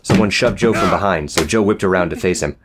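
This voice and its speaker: A man's voice: sits low, fast, crowd noise. A man narrating a scripted, dramatic story as an audiobook reader.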